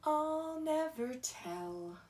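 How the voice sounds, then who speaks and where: Storybook schoolteacher, played by a woman reader: sweet, gentle, coy, lilting and raised in pitch. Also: expressive, very slow, roomy sound, a little hiss or hum, heavily acted. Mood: secretive, singing. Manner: sweet, singsong.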